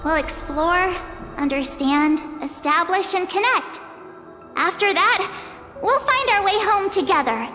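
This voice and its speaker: Game casting: teenage girl adventurer, cheerful, loyal, earnest. Extremely high pitch, unhurried delivery. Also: animated, bright, forceful tone, exaggerated voice. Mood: warm, determined. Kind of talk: anime game voice acting. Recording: long reverb, music behind.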